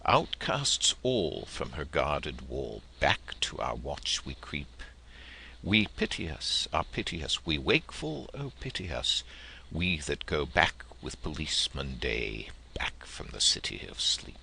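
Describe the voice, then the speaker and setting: Male storyteller, extremely low register with gravelly fry, theatrical and deliberate literary reading, some noise on the recording. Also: sing-song, sharp tone. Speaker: audiobook narrator. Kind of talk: expressive literary narration and verse reading.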